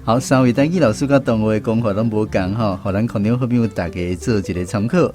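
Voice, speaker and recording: A man's voice: on the low side, quick pace, a little mellow. A man with a Taiwanese accent, speaking as a radio host leading a language quiz segment. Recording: music playing behind the voice. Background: instrumental music.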